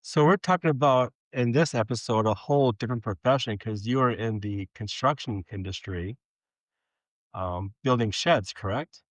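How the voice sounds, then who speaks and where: A man's voice: mid-range voice, moderate pace, no echo. A man, hosting a podcast interview with friendly, conversational questions. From Minnesota. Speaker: podcast host.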